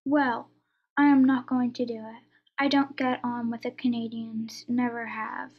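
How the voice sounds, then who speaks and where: Woman playing a high, husky haughty lady. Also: little pitch movement, a little slow, dull audio. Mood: blunt, amused. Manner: haughty, dry, brusque. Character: haughty, impatient older aristocratic lady, drily blunt. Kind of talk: radio play mystery acting.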